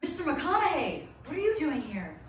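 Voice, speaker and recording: A woman's voice: high-pitched, huge pitch swings, normal speed. A woman playing an earnest, awkward, lovelorn middle-aged man in a comedic live table read. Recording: very echoey stage, distant mic, noisy.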